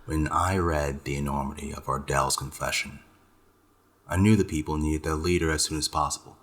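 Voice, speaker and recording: Bright-voiced, courtly young lord. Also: male voice, extremely low pitch, steady tone. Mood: cool, measured. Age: young adult. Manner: formal, deliberate, oratorical. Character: smooth, courtly young nobleman, self-assured and measured. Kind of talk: fantasy audio drama acting.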